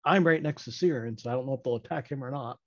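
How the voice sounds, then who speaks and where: A man's voice: mid-range voice, fast talker, a bit bright, no room sound, highs cut off. A man talking casually as a player in a tabletop role-playing game.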